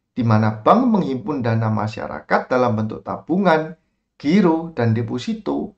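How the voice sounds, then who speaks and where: A man with an Indonesian accent reading a scripted explanatory story in an informal, expressive storyteller's way. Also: medium pitch, gravelly, highs cut off. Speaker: narrator and content creator. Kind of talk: scripted storytelling narration explaining stock investing.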